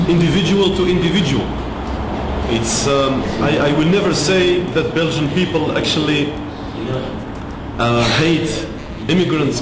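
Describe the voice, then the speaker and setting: Brief: man from Africa, slightly high voice, measured answers full of pauses, roomy echo and noise. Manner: measured, many fillers. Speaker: interviewee. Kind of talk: interview answers.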